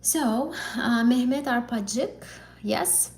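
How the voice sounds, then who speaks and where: A woman's voice: on the high side, drawn-out words, darkish tone. A young woman from Azerbaijan, whose first language is Azerbaijani, answering viewers' questions on a live stream as an English exam tutor, chatty and often rephrasing herself. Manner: chatty, repeats and rephrases, many fillers.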